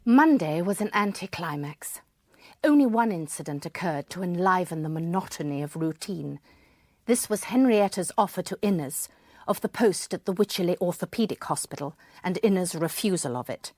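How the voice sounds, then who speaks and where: An actress playing an observant, self-deprecating woman who narrates a radio drama in a reflective, expressive way. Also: lowish voice, dry recording, studio quality. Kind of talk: first-person radio drama narration and dialogue.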